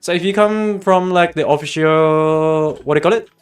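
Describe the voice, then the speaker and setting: Higher-voiced male streamer chatting over music. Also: moderate pace. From Australia. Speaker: livestream host and guide maker. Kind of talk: casual livestream chat with viewers. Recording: music playing behind the voice. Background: background music.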